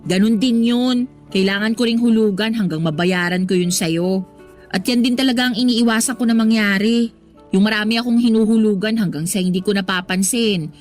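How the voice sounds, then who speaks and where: A woman's voice: medium pitch, a little fast, a little mellow, faint music behind, natural voice. A young woman with a Filipino accent playing a hardworking, evasive office employee in a radio drama. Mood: firm, reassuring.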